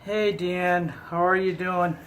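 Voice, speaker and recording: A man's voice: sits high, little pitch movement, slow, background noise. A man improvising prank phone calls, play-acting with a hesitant, stammering delivery. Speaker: prank caller.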